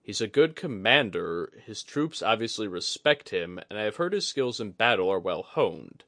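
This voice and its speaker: Earnest male officer acted by a man, worried and formal, lowish pitch, fantasy audiobook dialogue. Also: sing-song, fairly bright tone, no echo, studio quality. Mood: weary. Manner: measured, formal phrasing.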